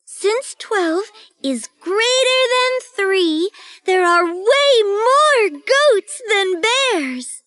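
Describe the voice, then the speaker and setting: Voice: very high voice, a bit bright, no room sound, full character voice. A performer playing a curious, eager young girl in an educational storybook cartoon, speaking in an earnest, inquisitive way. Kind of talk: children's educational cartoon acting.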